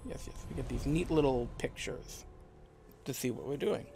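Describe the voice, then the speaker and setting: A man's voice: medium pitch, moderate pace, creaky, warm tone, a little hiss or hum. Casual man doing gameplay commentary. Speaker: let's play host.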